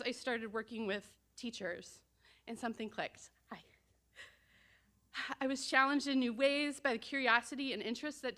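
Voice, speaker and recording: A woman, a doctoral student and outdoor educator, giving an award acceptance speech, often pausing on um and uh. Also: a little high, sharp tone. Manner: frequent ums and uhs.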